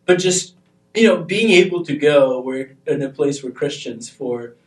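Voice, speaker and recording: A young man from Albania chatting casually on a panel, turning animated as he tells stories. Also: fairly high pitch, unhurried, far from the mic. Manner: animated, grows excited when telling stories. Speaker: podcast panelist. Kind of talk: casual chat. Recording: roomy, off-mic sound.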